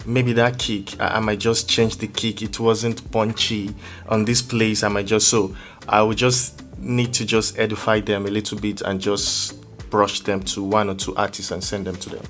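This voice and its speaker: A low-voiced man speaks casually as an interviewed music producer. He pauses to search for words and takes a roundabout way through his explanations. Faint music plays behind him. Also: level tone, a bit bright.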